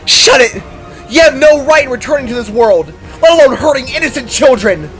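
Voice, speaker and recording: A man voicing a fierce, confident heroine and protective mother in an audio story, exclaiming forcefully. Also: very high-pitched, lively intonation, moderate pace, faint music behind, pitched above the performer's own voice. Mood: furious.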